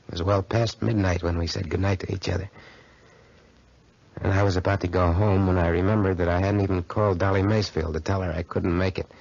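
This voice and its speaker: An actor in a 1940s radio drama playing a brash, smooth-talking young schemer with slangy, cocky tough-guy talk. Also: deep voice, darkish tone, full character voice. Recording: muffled 1940s radio recording.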